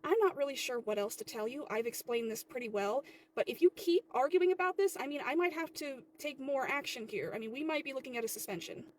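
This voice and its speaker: A woman playing a stern, condescending female general manager in a comedy skit, officious and measured. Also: high-pitched, lively intonation, slight background noise. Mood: domineering.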